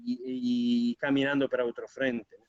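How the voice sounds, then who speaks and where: A man's voice: medium pitch, flat delivery, a little slow, no room sound, dull audio. A man from Italy, a guest on a radio interview who talks hesitantly with many fillers and restarts. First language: Italian.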